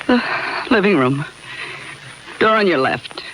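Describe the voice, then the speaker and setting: A woman's voice: lowish voice, big pitch swings, slow pace. An actress playing a frightened, flustered woman in a 1950s radio melodrama, hesitant and tremulous, breaking off mid-sentence. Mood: uneasy, hesitant. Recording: noisy 1951 radio broadcast.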